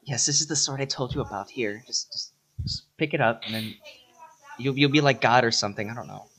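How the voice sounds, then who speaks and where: A man playing a flustered, impatient, foul-mouthed young sorceress in an improvised comedy fandub, rambling and halting. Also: mid-range voice, a bit bright, slightly rough.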